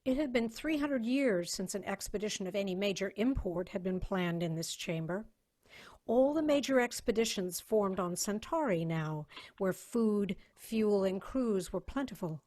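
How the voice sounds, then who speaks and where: A woman's voice: medium pitch, lively intonation, normal speed, a little mellow. Woman narrating a dramatic story. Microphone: video call.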